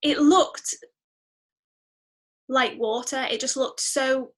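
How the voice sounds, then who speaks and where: A woman speaking as a podcast guest in a casual interview, chatty and expressive, often exclaiming. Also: high voice, slow pace.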